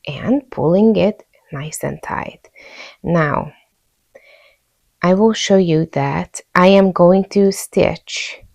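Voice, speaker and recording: A woman's voice: fairly low pitch, creaky, darkish tone. A woman with a Hungarian accent narrating a step-by-step craft tutorial in an easygoing, informal way. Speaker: host of a crochet tutorial channel.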